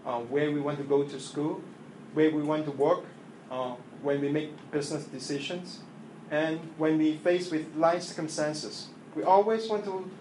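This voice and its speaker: A man whose first language is Chinese, preaching a sermon in English after an opening prayer, halting and earnest with many fillers and restarts. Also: a little high, darkish tone. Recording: echoey room with faint ambient noise.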